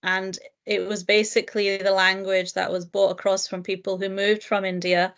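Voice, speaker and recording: A woman teacher and lecturer answering interview questions in a thoughtful, earnest way, with frequent fillers and soft laughs. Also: mid-range voice, little pitch movement, normal speed, highs cut off. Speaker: guest, primary teacher and lecturer. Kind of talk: reflective interview conversation.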